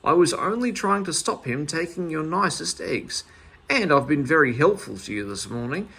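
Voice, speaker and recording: A man's voice: a little high, expressive, moderate pace, gravelly, sharp tone, breathy edge, heavily acted. A man voicing a meddling, self-important busybody in a children's story, eager and pompous. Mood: indignant. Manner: obliging, pompous.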